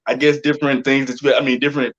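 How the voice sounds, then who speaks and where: A man's voice: mid-range voice, little pitch movement, rapid-fire, fairly bright tone, dull audio. An American man hosting a casual interview in an informal, slangy way. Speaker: interview host.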